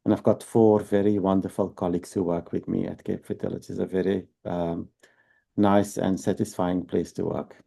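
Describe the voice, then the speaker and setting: Belgian guy, 50s, doctor explaining stuff slowly, kinda gravelly dark voice. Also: low voice, flat delivery. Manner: unhurried, explains step by step with tag questions. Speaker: fertility doctor. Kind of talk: explanatory interview talk.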